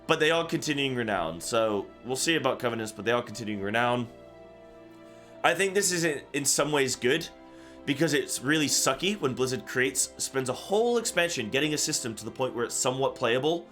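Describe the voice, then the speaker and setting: Man, mid-pitched voice, casual gaming commentary, rambling, repetitive, background music. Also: expressive, fairly quick, fairly bright tone. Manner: rambling and repetitive, talking off the cuff. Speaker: gaming content creator and host. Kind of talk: casual reaction commentary on a game announcement.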